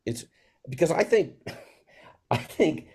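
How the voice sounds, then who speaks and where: A man in a reflective panel conversation, speaking as a theater maker in a halting, searching, earnest way with pauses. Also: on the high side, very animated, vocal fry, darkish tone, slightly rough.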